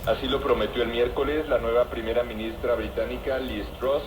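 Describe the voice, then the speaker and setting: A man's voice: mid-range voice, steady tone, fast talker. A man reading a scripted news bulletin, heard through a shortwave radio. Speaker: radio newsreader. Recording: noisy shortwave broadcast from radio speaker.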